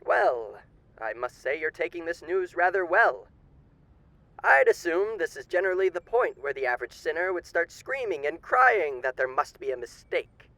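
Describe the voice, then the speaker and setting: A man's voice: fairly high pitch, big pitch swings, normal speed, pushed and bright, no room sound, exaggerated voice, rough recording. A man playing a suave demon overlord with theatrical, old-fashioned genteel diction in an audio roleplay. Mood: smug, courteous. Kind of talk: ASMR character roleplay.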